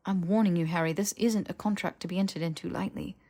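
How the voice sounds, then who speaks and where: A woman's voice: lowish voice, steady tone, quick pace, dark tone. A woman voicing a sensible, bookish young witch with a precise, scolding, exasperated manner in an audiobook. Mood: cautioning. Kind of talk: fiction audiobook character voice.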